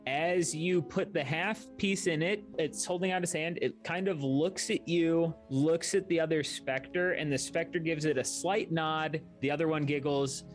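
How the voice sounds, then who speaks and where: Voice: mid-range voice, fairly even intonation, normal speed, few pauses. A game master narrating a tabletop fantasy adventure, describing scenes to the players. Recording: music behind the voice. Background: music.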